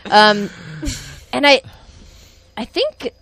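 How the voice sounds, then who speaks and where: A woman's voice: a little high, very animated, drawn-out words, a bit bright, no echo. A woman in her twenties chattily recounting how she met her partner, in a casual conversation. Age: 20s. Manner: chatty storyteller, many fillers. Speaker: guest.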